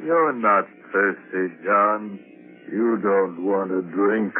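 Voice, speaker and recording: Menacing disguised Martian, tinny radio. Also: male voice, on the low side, steady tone, takes it slowly, soft background music. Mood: quietly menacing. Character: menacing Martian posing as the captain's brother. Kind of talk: 1950s radio drama acting. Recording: tinny old radio broadcast recording.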